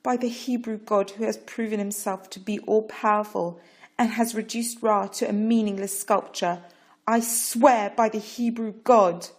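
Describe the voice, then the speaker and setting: A woman's voice: mid-range voice, steady tone, a little slow, voice pushed up, lightly acted. A woman reading a story voices a bold, defiant Egyptian noblewoman who begs a king with urgent passion. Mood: fierce vow. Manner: urgent, impassioned pleading.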